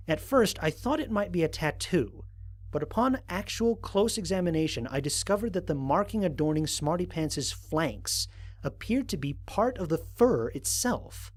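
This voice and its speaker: A man performs an analytical human explorer narrating a mission log for an audio drama. His pitch moves in lively rises and falls, while his wording stays formal and precise. He is measured and scientific, with a dry, wry streak. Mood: measured, intrigued. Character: analytical, dryly wry human explorer logging first contact.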